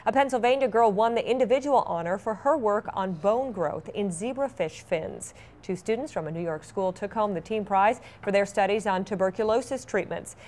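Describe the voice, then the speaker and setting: A woman's voice: medium pitch, normal speed, a little mellow. A woman anchoring a newscast, reading scripted stories with light conversational asides. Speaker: TV news anchor.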